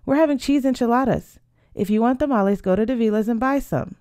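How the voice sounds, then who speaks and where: A woman's voice: fairly high pitch, fast, mellow, no room sound, studio quality, voice pushed up, close to an everyday voice. A woman reading the part of a feisty, stubborn mother in a storybook, with an emphatic, scolding manner.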